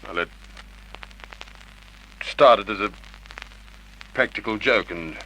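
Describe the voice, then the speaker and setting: An actor playing a hot-tempered, defensive husband under suspicion in an old radio drama. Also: male voice, fairly low pitch, slow pace, sharp tone, slight background noise, poor audio. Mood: defensive, upset. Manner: defensive, agitated. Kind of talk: Golden Age radio drama acting.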